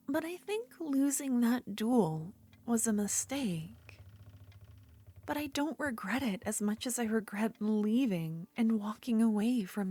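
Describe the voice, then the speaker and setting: A woman playing an obsessive, unhinged female duelist rival in an ASMR fantasy roleplay, speaking intimately and often slipping toward a breathy whisper. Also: a little high, mellow, dry recording. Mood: desperate, intense.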